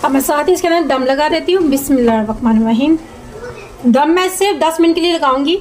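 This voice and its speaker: A woman narrates a home recipe step by step. She instructs plainly and repeats details as she goes. She is recorded at the stove, with clear sizzling and frying noise behind her. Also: sits high, animated, fast talker, darkish tone. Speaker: home cooking show host. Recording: noisy kitchen with sizzling pan. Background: frying, sizzling, stirring.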